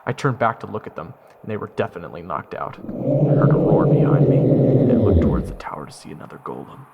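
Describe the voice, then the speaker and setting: Gravelly, wry young soldier man telling his tale. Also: on the low side, sing-song, fast, narrow, tinny audio, slight background noise. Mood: wry, tense. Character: wry, self-deprecating young male soldier recounting his adventure. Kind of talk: first-person fantasy story narration.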